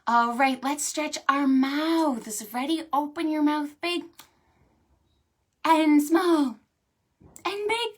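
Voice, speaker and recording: A woman hosting a storytime for preschoolers, talking to small children in an animated, sing-song way. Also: sits high, slow pace, long pauses. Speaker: children's librarian and storytime host. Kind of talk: preschool storytime with stretches and songs.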